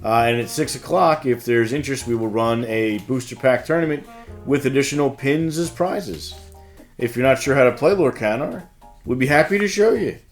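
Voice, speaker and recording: A man with a medium-pitched voice co-hosts a local store news show. He delivers scripted announcements in a casual, bantering way. Music plays behind him. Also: moderate pace.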